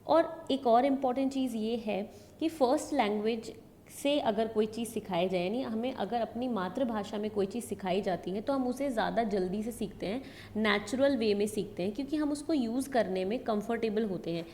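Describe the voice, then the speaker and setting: A woman's voice: fairly high pitch, moderate pace, warm tone. A woman with a North Indian accent giving an explanatory lecture, mixing in English terms and repeating key points in new words. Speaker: education lecturer. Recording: echoey hall reverb, background noise.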